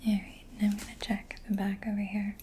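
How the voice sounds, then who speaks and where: Female ASMR performer, soft whispery mechanic roleplay, dark mellow tone, close-miked with some noise behind. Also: mid-range voice, monotone, a little fast. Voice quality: soft, breathy, mostly whispered. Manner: gentle, personal-attention murmuring. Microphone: close-up ASMR microphone.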